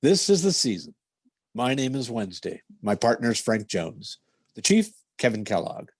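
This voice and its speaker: Man playing a deadpan, no-nonsense radio detective. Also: medium pitch, moderate pace, breathy edge, dry recording, soft background music. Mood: deadpan narration. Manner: deadpan, clipped, matter-of-fact. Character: stoic, no-nonsense male police detective narrating his case. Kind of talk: 1950s radio crime drama parody.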